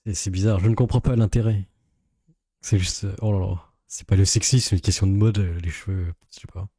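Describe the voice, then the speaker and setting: A man's voice: sits low, steady tone, a little fast, dark tone, husky, no room sound. A man giving casual reaction commentary as a YouTuber, in a sarcastic, sceptical and rambling way.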